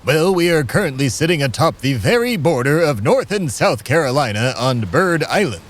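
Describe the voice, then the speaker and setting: A man's voice: on the high side, normal speed. An actor playing a pompous, formal immortal man, 246 years old, in a comedy audio drama. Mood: smug. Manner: formal, lofty, deadpan delivery.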